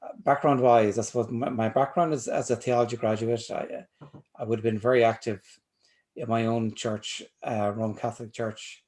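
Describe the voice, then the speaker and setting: A man from North Tipperary with an Irish accent, answering as a guest in a thoughtful, measured way. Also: medium pitch, fairly even intonation, a little mellow. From North Tipperary, Ireland. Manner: thoughtful, measured, uses "I suppose". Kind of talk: casual interview answers.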